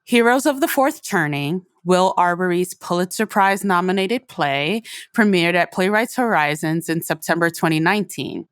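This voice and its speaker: A woman's voice: fairly low pitch, animated, moderate pace, hardly any pauses, clean tone, no room sound, studio quality. A woman reading scripted narration as the host of an audio programme. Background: music.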